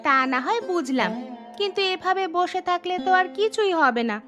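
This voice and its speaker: A high-voiced village woman from a cartoon , acted by a woman. She talks persuasively and reassuringly, urging others on, with music playing underneath. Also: expressive, moderate pace. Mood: encouraging. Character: encouraging village woman planning a fish-fry business. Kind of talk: cartoon dialogue.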